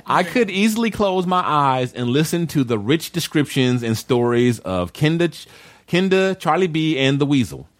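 Animated male podcast host, lively pitch swings. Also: normal speed. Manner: animated, laughs often. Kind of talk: casual group podcast chat.

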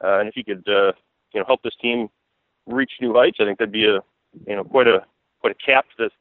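Fast-talking American man on tinny phone line. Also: on the low side, fairly even intonation, dry recording. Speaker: sports beat writer, interview guest. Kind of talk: conversational sports analysis.

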